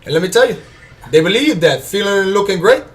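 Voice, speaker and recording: A man voicing a swaggering Cuban gangster who pitches ties with menacing bravado in a loud mock accent. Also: expressive, fast, distant mic.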